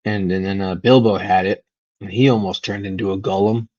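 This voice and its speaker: A man from Venezuela talking casually and unscripted as a livestream host, rambling and halting as his thoughts trail off. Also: deep voice, fairly even intonation, a little mellow, no room sound, muffled recording. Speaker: live stream host.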